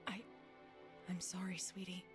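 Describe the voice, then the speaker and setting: A rueful sorceress with a soft, theatrical delivery, as a woman acts her out. The line lands with overdone drama, and music plays steadily under the voice. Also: lowish voice, little pitch movement, unhurried, natural voice. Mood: rueful tender. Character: scheming sorceress turned tender, adult woman. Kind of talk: comedic fan-dub acting. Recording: fan dub played over background music.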